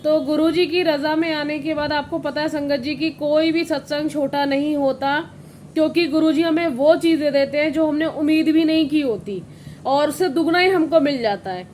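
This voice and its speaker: Hoarse high-voiced woman with a cold. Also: steady tone, normal speed, a little mellow, slight background noise. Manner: rambling, with frequent restarts and fillers. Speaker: satsang channel host. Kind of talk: devotional storytelling chat.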